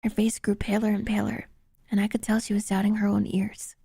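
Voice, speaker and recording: A woman's voice: medium pitch, monotone, a little fast, vocal fry, warm tone, husky, dry recording, studio quality. A woman reading a story aloud as a streamer, in dramatic first-person narration.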